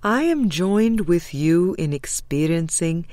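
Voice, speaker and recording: A woman reading a spiritual audiobook with measured, deliberate phrasing. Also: fairly low pitch, animated, mellow, dry recording. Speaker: audiobook reader.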